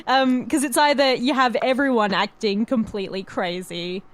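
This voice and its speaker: Higher-pitched woman chatting casually on a podcast. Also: fairly even intonation, normal speed. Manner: informal, sweary. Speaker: podcast co-host. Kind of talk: casual film and TV discussion.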